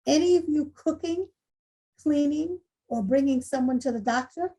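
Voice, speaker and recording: A woman's voice: high-pitched, moderate pace, dark tone, poor audio. A woman playing an earnest, determined school principal making a rousing speech in a screenplay table read. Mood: probing, earnest. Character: earnest, determined female school principal rallying staff and students.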